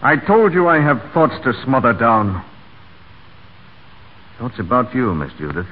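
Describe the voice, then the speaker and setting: An actor playing a brash, brooding, lovestruck stable hand, blunt and earnest, in a 1940s radio drama. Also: male voice, mid-range voice, very animated, measured pace. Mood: ardent. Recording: tinny, narrow 1940 radio broadcast.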